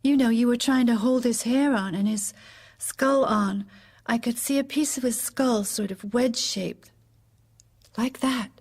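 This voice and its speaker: A grieving First Lady in an audio drama. Her voice is high and breathy-rough, and she speaks haltingly, fragile and dazed. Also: a little mellow. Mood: grief-stricken. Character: grieving young widowed First Lady recalling her husband's shooting.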